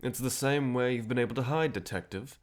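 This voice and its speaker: An actor playing a cheerful, self-assured, century-old vampire rebel leader in an audio drama, courteous and persuasive with a theatrical manner. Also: male voice, medium pitch, a little fast, natural voice. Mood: determined, persuasive. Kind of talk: scripted audio drama acting.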